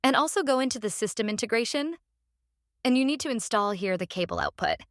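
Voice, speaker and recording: A woman narrating a casual software tutorial, explaining step by step in a halting way and repeating phrases. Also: fairly high pitch, animated, dry recording, studio quality. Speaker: tutorial presenter.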